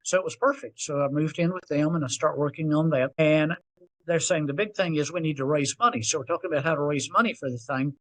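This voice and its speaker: Southern man telling a lively story. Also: a little high, fast, poor audio. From North Carolina. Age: 60s. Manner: animated, self-deprecating storyteller. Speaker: podcast guest. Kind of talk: storytelling interview.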